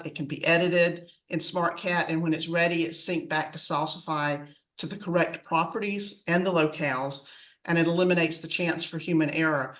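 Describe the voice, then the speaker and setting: A woman's voice: fairly low pitch, fairly bright tone, recorded from a distance, rough recording. A woman presenting in a semi-scripted, businesslike way as a customer speaker on a webinar.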